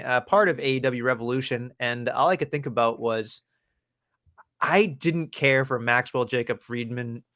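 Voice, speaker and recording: Guy cohosting a wrestling pod, mid pitch voice, rambles and swears nonstop. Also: fairly bright tone. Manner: rambling, profane, laughing. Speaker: podcast co-host. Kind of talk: casual wrestling podcast chat.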